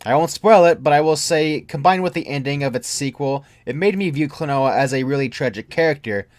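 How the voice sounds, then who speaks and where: A man's voice: on the high side, a little fast, a little mellow, no echo, clean studio sound. A young man reading a scripted first-person story as a creepypasta narrator. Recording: music behind the voice. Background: music.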